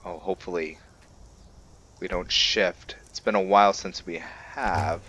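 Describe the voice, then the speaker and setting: Low-voiced, slow-talking man, casual game commentary. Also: steady tone, fairly bright tone. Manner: laid-back, halting, thinking aloud. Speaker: host of a gaming channel.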